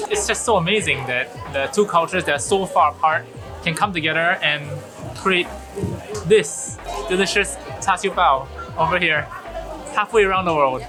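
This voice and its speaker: A young man vlogging a food tour, describing dishes and local food culture in a relaxed, explanatory way. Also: high-pitched, normal speed. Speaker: travel vlogger. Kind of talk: casual food vlog commentary. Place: busy food market. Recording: music and busy market crowd behind.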